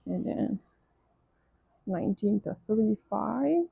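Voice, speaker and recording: Chatty French-accented woman, gravelly low-key voice. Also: animated, takes it slowly, no room sound, narrow, tinny audio. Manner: chatty, gushing, frequent restarts and "um"s. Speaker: crafting vlogger. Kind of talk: casual unboxing chat.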